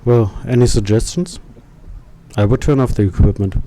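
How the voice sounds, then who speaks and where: Mellow male game streamer over noise. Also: mid-range voice, moderate pace. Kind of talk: live game stream, reading dialogue aloud and chatting.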